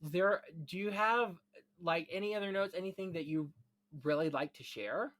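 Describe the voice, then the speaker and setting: A man hosting a film podcast, talking in an animated, emphatic and chatty way. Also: high voice, moderate pace, soft background music. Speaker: podcast host. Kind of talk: theatrical scripted intro, then casual film chat.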